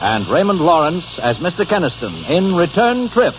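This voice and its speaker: A man's voice: fairly high pitch, huge pitch swings, moderate pace, noisy background. An American man introducing a 1940s radio drama as its announcer, in a scripted, dramatic style. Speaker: program announcer. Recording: dull old radio recording.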